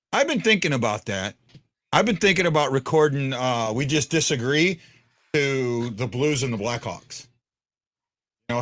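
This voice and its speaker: A man with a deep voice hosting a casual fan podcast, rambling with stumbling restarts. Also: fairly bright tone. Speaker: podcast host.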